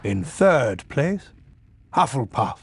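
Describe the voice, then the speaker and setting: Old wizard headmaster, creaky voice with big swells, ceremonious and kind, video game. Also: male voice, medium pitch, very animated, noisy background, full character voice. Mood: grand announcing. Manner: ceremonious, measured. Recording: faint music behind the voice. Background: faint music.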